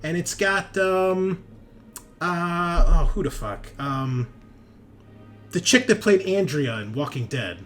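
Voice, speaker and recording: Animated, emphatic man with a highish voice. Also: slow pace, soft background music. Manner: ranting, emphatic, punctuating with "right?" and "okay?". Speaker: host and game master of a tabletop roleplaying show. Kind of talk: casual, profane opinionated chat.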